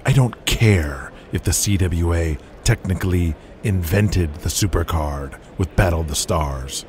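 An actor playing a ruthless, controlling wrestling promoter in an audio drama, speaking in a cold, lecturing manner. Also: male voice, sits low, a little mellow, slight background noise.

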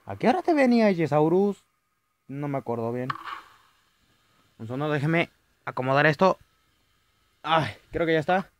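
A young man with a Chilean accent commentating a horror game, yelling at jump scares and rambling between them. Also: fairly high pitch, big pitch swings, normal speed, long pauses. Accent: Chilean Spanish. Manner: jumpy, shouting at scares, rambling asides. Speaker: gaming youtuber. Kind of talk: let's play commentary.

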